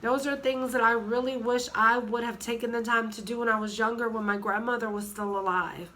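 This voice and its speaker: A woman in her thirties with a cold, hoarse and sniffly, chatting casually in a rambling way with many fillers and restarts. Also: a little high, steady tone, brisk, bright, forceful tone, slight background noise. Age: 30s. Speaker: vlogger. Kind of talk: casual chat.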